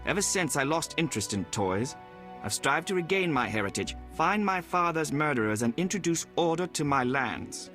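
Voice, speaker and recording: An actor playing a shrewd, proud young royal in a video game, speaking formally and with self-assurance. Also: male voice, on the high side, lively intonation, moderate pace, sharp tone, faint music behind. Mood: shrewd, self-assured. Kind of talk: video game dialogue acting.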